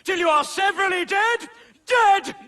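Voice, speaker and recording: A man's voice: very high-pitched, normal speed, bright, forceful tone. A man playing a stern, pompous colonial judge in a game, with an imperious, declamatory way of speaking. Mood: pompous, severe. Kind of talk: theatrical game courtroom acting.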